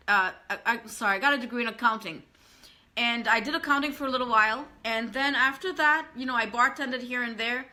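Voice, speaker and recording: A woman's voice: a little high, bright, forceful tone. A woman, earnestly talking to live-stream viewers as a business coach and often repeating herself. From Trinidad and Tobago.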